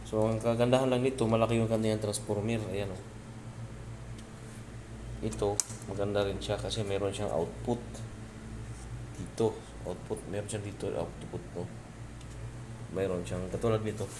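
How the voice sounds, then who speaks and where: A man's voice: lowish voice, level tone, moderate pace, pauses often. A Filipino man giving a casual hands-on electronics tutorial, informally pointing out parts as he goes. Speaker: electronics repair presenter. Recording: clearly noisy home-made video recording.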